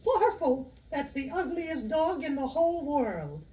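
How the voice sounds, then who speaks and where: A cheeky falsetto dummy boy. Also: big pitch swings, measured pace, warm tone, recorded from a distance, heavily acted, poor audio. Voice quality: high falsetto. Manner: cheeky, jokey comebacks. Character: wisecracking boy ventriloquist dummy. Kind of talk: scripted instructional record.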